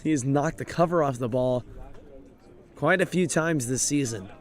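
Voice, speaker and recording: A man calling a college baseball game play by play, his voice raspy and hoarse from overuse, steady and informative, and lifting with the action on the field. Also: medium pitch, moderate pace. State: hoarse, voice drained. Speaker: play-by-play announcer. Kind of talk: live baseball play-by-play commentary.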